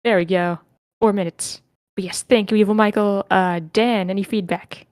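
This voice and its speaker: A woman moderates an online group session in a casual, chatty way. Her voice sits at an average pitch for a woman. The sound is dry, with very little room in it. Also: fairly even intonation, normal speed, darkish tone, dry recording. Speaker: host of an online script reading session.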